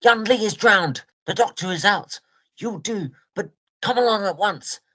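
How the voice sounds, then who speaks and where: A woman's voice: mid-range voice, unhurried, pushed and bright. A woman playing a panicked young male student in a period drama, calling urgently for help. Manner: urgent, breathless pleading. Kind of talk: period audio drama dialogue.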